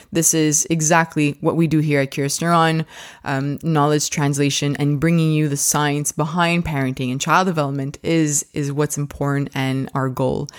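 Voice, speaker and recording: A woman hosting a parenting science podcast, reading the intro and sponsor thanks, then opening the interview with enthusiastic questions. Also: low-pitched. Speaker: podcast host.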